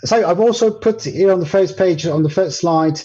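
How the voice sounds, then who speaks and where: Hesitant French-speaking man, mellow, muffled. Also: high voice, moderate pace, slightly rough. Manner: hesitant, many ums and restarts. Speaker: guest presenter. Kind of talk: live screen-share tutorial.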